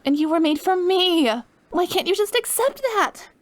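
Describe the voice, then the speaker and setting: A woman's voice: very high voice, animated, moderate pace, no echo. A woman playing an obsessive, lovesick, dying young witch in an intimate ASMR roleplay, with a clingy, pleading manner. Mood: frantic, desperate.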